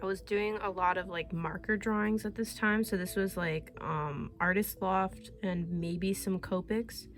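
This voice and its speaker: A woman's voice: mid-range voice, steady tone, unhurried, few pauses. A young woman artist chatting casually and rambling, laughing often, getting over a cold. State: recovering from a cold. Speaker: artist vlogger. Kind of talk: casual chat.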